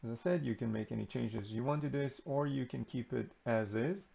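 A man giving an unscripted screen-recording walkthrough of software, relaxed and unhurried with frequent ums and uhs. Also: mid-range voice, vocal fry, lo-fi sound. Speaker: software tutorial presenter.

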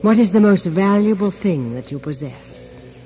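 A sly, mystical shopkeeper in a radio play, trading in souls. The voice is rather high and mellow. Each offer comes out as insinuating, theatrical salesmanship, with music behind it. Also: male voice, lively intonation, unhurried, poor audio. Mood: tempting. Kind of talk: radio drama acting.

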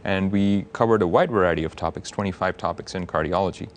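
A man in his thirties, a cardiologist guest on a TV news studio set, explaining things in a measured, clear way on a lapel mic. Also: deep voice. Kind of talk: TV studio interview.

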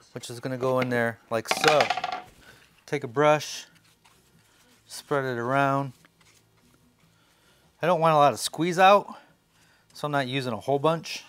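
A man's voice: fairly high pitch, fairly bright tone. A man in his forties with an American accent, hosting a woodworking tutorial in a casual, conversational way. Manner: informal, conversational. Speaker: woodworking host. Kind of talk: casual how-to tutorial narration. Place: indoor workshop studio.

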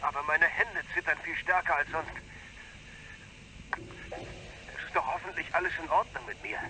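A man's voice: a little high, normal speed, noisy background. An actor playing a tense, anxious male astronaut talking over a radio link in a radio play.

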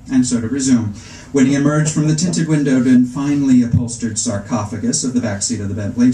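A middle-aged man giving a live literary reading, with a wry, arch and measured storytelling manner. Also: medium pitch, warm tone, a little hiss or hum. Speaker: author reading at a book event. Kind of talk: live literary reading of first-person comic prose. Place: indoor bookstore reading before a seated audience. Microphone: stand microphone, recorded from the audience seats. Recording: echoey room, public reading in a bookstore.

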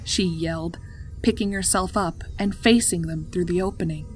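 A woman's voice: lowish voice, moderate pace, low-quality recording. A woman narrating a scripted story as its storyteller. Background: music.

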